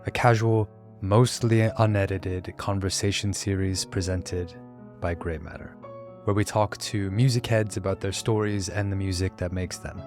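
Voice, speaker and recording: A man's voice: deep voice, steady tone, moderate pace. A man, the podcast's host, reading a friendly scripted show introduction. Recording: intro read over guitar music.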